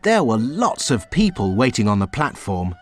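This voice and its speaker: A man reading a children's storybook aloud in a slow, very expressive storytelling way. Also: medium pitch, faint music behind. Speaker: storybook reader. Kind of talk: children's read-aloud narration.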